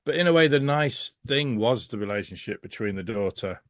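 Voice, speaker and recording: A man's voice: medium pitch, expressive, brisk, no room sound, clean studio sound. A man giving a casual podcast review as a guest, speaking in a rambling, emphatic, frank way.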